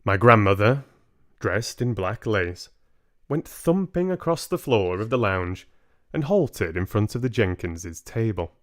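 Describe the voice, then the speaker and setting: Slow, expressive male storybook narrator. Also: on the low side. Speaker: audiobook reader, livestream host.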